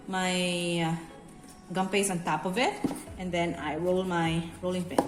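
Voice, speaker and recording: A woman's voice: fairly low pitch, noisy background. A Filipino-accented woman, a cake decorating instructor, talks viewers through a hands-on craft tutorial in a conversational, instructive way. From Bacolod, Philippines. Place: indoor room, echoey. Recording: echoey room with music behind.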